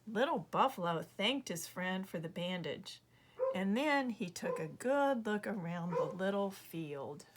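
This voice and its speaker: A woman reading her own children's book aloud as a measured, expressive storyteller. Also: mid-range voice, background noise. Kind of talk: storybook narration read aloud.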